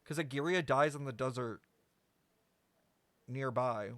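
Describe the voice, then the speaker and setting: A man streaming casual game commentary, talking to chat in an animated, slangy, sweary way. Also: mid-range voice, a little slow, no echo. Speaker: streamer reacting to a video game. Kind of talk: casual livestream commentary and lore speculation.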